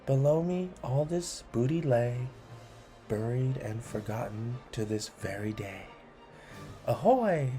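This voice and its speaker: A man reading children's rhymes aloud expressively and deliberately, adding encouraging asides to the listener. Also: mid-range voice, warm tone, faint music behind. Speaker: read-along host.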